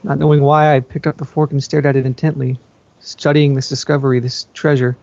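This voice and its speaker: A man's voice: mid-range voice, little pitch movement, brisk, creaky, darkish tone, no room sound, dull audio. A man reading fan fiction aloud as a first-person narrator.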